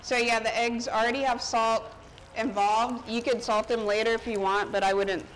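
A woman's voice: on the high side, fairly even intonation, normal speed, fairly bright tone, rough recording. A woman in her thirties chatting casually as a chef, explaining things informally while busy cooking in front of people. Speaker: chef giving a cooking demonstration. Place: outdoor covered market stage. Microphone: lapel mic. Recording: outdoor ambient noise behind voice. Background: outdoor park ambience.

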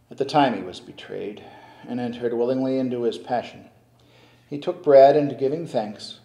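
Older male priest, measured and solemn liturgical delivery, warm tone, reverberant church sound. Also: medium pitch. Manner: slow, solemn, measured intoning. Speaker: priest celebrating Mass. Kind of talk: liturgical prayer recitation. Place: stone-walled church sanctuary at the altar. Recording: echoey church.

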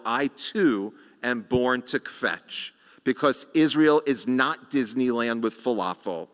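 Middle-aged male rabbi, sermon at a lectern, unhurried with deliberate pauses, long sanctuary reverb. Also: mid-range voice, fairly bright tone, smooth. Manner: slow, measured delivery with rhetorical pauses. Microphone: lectern microphone.

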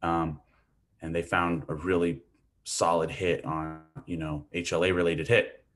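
A man from Hawaii lectures over a video call in a very low voice. He talks casually, thinking aloud with plenty of fillers, into a webcam mic in a small room. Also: level tone, measured pace, a bit bright, soft background music.

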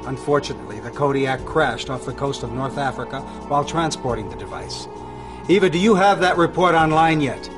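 A man's voice: mid-range voice, brisk, music in the background, lightly acted. A middle-aged man playing a stern, businesslike army general, giving a formal, authoritative mission briefing in a game.

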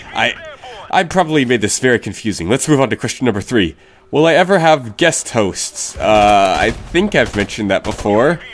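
A man's voice: mid-range voice, slight background noise. A young man with a stuffy, sick voice hosting a casual Q&A, rambling and correcting himself as he answers viewer questions. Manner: rambling, self-correcting, blunt opinions. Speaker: YouTube channel host.

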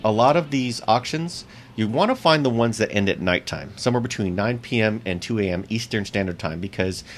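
A man's voice: fairly low pitch, animated, brisk, faint music behind. A man in his thirties with a US East Coast accent, hosting a bowling tips vlog straight to camera and talking upbeat and chatty, repeating his key points. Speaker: bowling channel host. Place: small indoor room with shelves. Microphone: seated close to camera.

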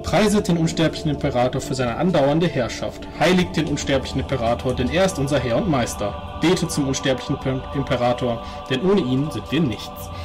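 A man's voice: medium pitch, a little fast, close to an everyday voice. A man playing a devout preacher in a tabletop game, reciting a solemn, repetitive litany. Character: devout preacher chanting prayers to a god-emperor. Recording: music playing behind the voice.

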